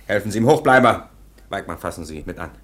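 Blustery old-time police inspector in a radio play, lowish voice, jumps all over, bossy. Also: male voice, animated, fast talker, slight background noise. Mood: ordering. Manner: commanding, barking, officious. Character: stern, blustering 19th-century German police inspector.

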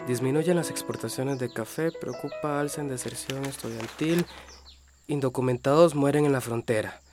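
An actor in a radio drama playing an earnest, anxious and excitable young man. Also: mid-range voice, normal speed, over music, lightly acted. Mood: reading gravely. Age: young adult.

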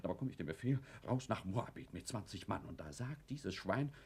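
Agitated guilty factory owner, swinging wildly. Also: male voice, lowish voice, lively intonation, brisk, a little mellow, slight background noise. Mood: defensive, brooding. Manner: nervous, blustering. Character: agitated guilty factory owner, former army lieutenant, evasive and domineering. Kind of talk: German radio play acting.

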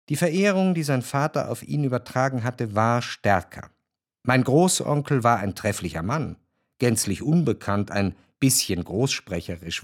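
A man's voice: medium pitch, no echo. Male literary narrator, very dry sound. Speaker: narrator of a radio drama. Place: dry studio.

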